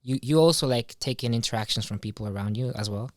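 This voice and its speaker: Young man, mid-pitched, very dry sound. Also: fast talker, dry recording. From Ecuador. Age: young adult. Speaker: podcast guest. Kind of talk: casual chat, personal reflection. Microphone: close boom-arm studio microphone.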